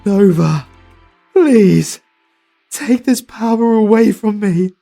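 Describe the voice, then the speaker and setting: British man as a tormented demon hunter, gravelly, very slow and halting, fantasy audio drama. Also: high-pitched, soft background music. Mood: anguished. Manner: anguished, halting, breaking into shouts. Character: grief-stricken male demon hunter, tormented. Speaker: voice actor. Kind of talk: fantasy ASMR audio roleplay.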